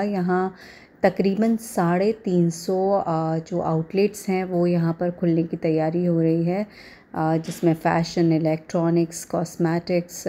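Woman, Indian accent, lowish voice, very even intonation, halting delivery, casual vlog narration. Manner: hesitant, halting delivery with repeated filler phrases. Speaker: vlogger.

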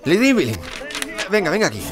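An actor playing a drunk, feverishly obsessed archaeologist in an audio drama, erratic and insistent. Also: male voice, sits high, big pitch swings, fast talker, over music. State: acting drunk.

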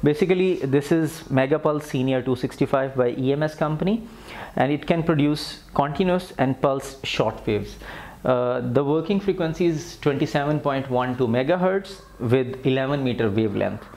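A man's voice: mid-range voice, normal speed, darkish tone. A man in his thirties giving an unscripted instructional demonstration, explaining plainly step by step. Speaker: physiotherapy instructor demonstrating equipment. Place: indoor clinic treatment room with tiled floor. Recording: clear background noise, camera in clinic room.

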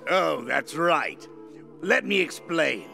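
An actor playing a pompous, blustering, cowardly king with a gravelly voice in a video game. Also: a little high, big pitch swings, slow pace, heavily acted. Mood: sheepish. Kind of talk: comic video game voice acting. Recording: loud music behind the voice.

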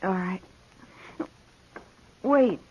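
An actress playing a hurt, wistful, quietly proud young saloon woman in a 1950s radio western. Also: medium pitch, very slow, husky. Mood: distressed. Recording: dull 1950s radio recording.

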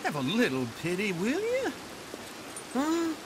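A folksy, swooping old western drifter. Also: male voice, extremely high pitch, huge pitch swings, unhurried, background noise, full character voice. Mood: self-pitying. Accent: rural American. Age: older. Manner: folksy, jeering, theatrical complaining. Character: scruffy, lazy, teasing old Wild West drifter uncle. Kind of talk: video game western acting.

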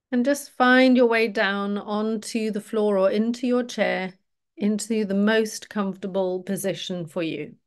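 A woman yoga teacher leading a guided relaxation meditation in a gently directive, instructional way. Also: mid-range voice.

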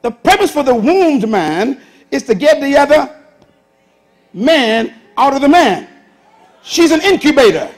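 A Bahamian man in his sixties preaching a sermon on a church stage, swinging from loud bursts to hushed asides. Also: very high-pitched, big pitch swings, measured pace, long pauses. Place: large church auditorium stage with audience.

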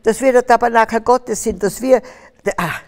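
A woman's voice: a little high, moderate pace, darkish tone. An older woman from Austria, telling her faith story as a guest on stage in a fervent, preaching way. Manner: fervent, preaching, rising to emphatic bursts. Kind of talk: testimony interview on stage. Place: stage with curtains, large hall. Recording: reverberant hall sound.

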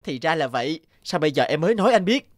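A man playing a kind, humble young orphan peasant in a cartoon, gentle and earnest. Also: on the high side, very animated, quick pace, no room sound, clean studio sound. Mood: gentle, caring. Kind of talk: cartoon dialogue.

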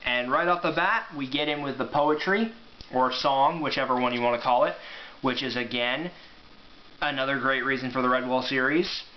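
A man's voice: mid-range voice, moderate pace. A man in his twenties talking casually as an amateur book reviewer, recorded at home on a camera mic. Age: 20s. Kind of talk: casual vlog review with read-aloud passages. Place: small room at home, indoors. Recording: dull home camera audio, faint noise.

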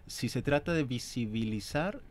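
A man in his thirties with a Mexican accent, an actor talking as a guest in a radio studio, measured and reflective. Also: medium pitch, lively intonation, slight background noise. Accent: Mexican Spanish. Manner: measured, reflective, speaks in long considered phrases. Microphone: desk mic on stand.

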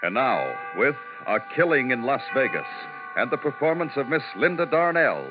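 A man's voice: mid-range voice, big pitch swings, moderate pace. A man announcing a suspense program in dramatic 1950s radio style. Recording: muffled old broadcast, loud music.

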